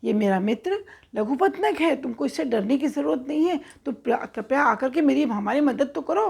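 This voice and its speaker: Woman playing a high-voiced, coaxing pigeon leader. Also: animated, normal speed, vocal fry, a little mellow, exaggerated voice. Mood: pleading. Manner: coaxing, earnest, calling out. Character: friendly, pleading male pigeon leader.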